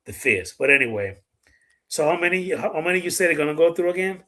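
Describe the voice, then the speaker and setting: A man's voice: a little high, lively intonation, fast, pushed and bright. A middle-aged man from the Dominican Republic with a Dominican accent, hosting a casual live chat, talking bluntly and swearing freely. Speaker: livestream host.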